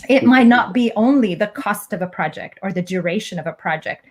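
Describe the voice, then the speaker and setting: A woman born in Egypt, an academic guest, giving measured, explanatory interview answers. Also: mid-range voice, lively intonation.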